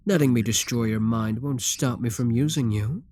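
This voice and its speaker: A man playing an arrogant, seductive demon king in a dramatic ASMR roleplay, speaking in a deliberate, commanding, theatrical way. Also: medium pitch, a little mellow, natural voice. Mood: cold, domineering.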